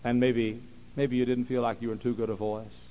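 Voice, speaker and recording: A man preaching a sermon, repeating phrases and swinging from quiet, slow talk to loud shouting. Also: mid-range voice, little pitch movement, slight background noise.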